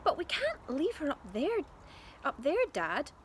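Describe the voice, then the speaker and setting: A woman's voice: sits high, huge pitch swings, unhurried, slight background noise, voice pushed up, heavily acted. A woman voicing an earnest young boy in a children's storybook, in a concerned, questioning way. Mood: worried.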